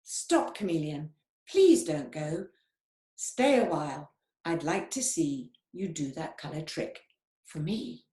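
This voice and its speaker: A woman voicing a loud, mocking male parrot in a storybook reading, with a squawking delivery. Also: low voice, very animated, takes it slowly, full character voice. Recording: echoey room.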